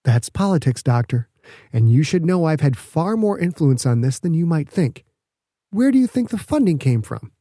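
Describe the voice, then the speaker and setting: A calculating woman governor from an audiobook, voiced by a man. The delivery is smug, pointed and measured, with a mellow, fairly high voice. The sound is dry, without room. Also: sing-song, no echo.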